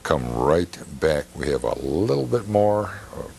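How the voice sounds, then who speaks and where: Male TV host, deep voice, casual studio chat, faint noise. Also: very animated, unhurried, creaky, a little mellow. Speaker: talk show host. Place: television studio.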